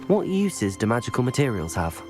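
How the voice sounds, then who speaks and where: An actor playing a polite, helpful young student wizard in a video game, speaking in a courteous, earnest way. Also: male voice, mid-range voice, big pitch swings, brisk, close to an everyday voice. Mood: polite, curious. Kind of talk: video game character dialogue. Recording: music clearly behind the voice.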